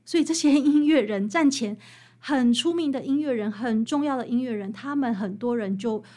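A woman with a Taiwanese Mandarin accent, an interview guest telling stories in an animated, explanatory, conversational way. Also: a little high, normal speed. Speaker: interview guest, author and researcher of old songs.

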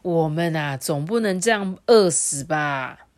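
A woman's voice: low-pitched, expressive, a little slow. A woman voicing a male deer father who sternly bluffs a tiger. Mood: worried. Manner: loud, mock-stern.

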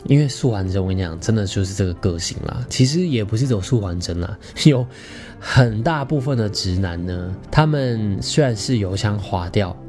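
A man's voice: lowish voice, expressive, moderate pace, a little mellow, faint music behind. Campy Taiwanese man with a darker tone. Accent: Taiwanese Mandarin. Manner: theatrical, campy, playful. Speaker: podcast host. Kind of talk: animated personal storytelling.

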